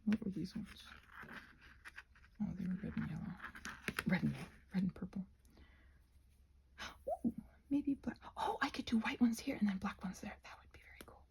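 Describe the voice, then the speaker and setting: A woman chats casually in a husky, breathy voice with a gravelly creak at the edges. Her tone is soft and mellow, often falling to a near-whisper. She is hesitant and self-deprecating, letting thoughts trail off as she rambles to viewers. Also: medium pitch, animated, normal speed, pauses often. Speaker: ASMR creator rambling while crafting.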